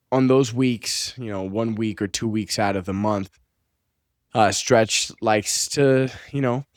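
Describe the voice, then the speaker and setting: Low-ish guy, kinda stuffy, rambling chat w lots of ums, dry close sound. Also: no room sound. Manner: loose, conversational, many fillers and restarts. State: stuffy, a bit under the weather. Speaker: finance channel host. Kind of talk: casual commentary on screen charts.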